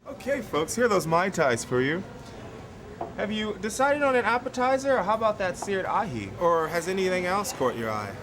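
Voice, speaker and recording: An actor as an attentive male waiter in a training film speaks in a high, very animated voice. He is courteous and eager to please. It is location sound with clear background noise. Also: normal speed. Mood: courteous, upbeat.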